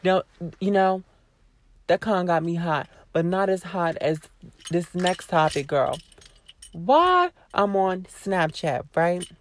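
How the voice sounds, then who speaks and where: Hoarse, sassy woman vlogger. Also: lowish voice, animated, takes it slowly. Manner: sassy, blunt, swears freely, talks straight to viewers. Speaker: YouTuber. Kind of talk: casual vlog rant to camera.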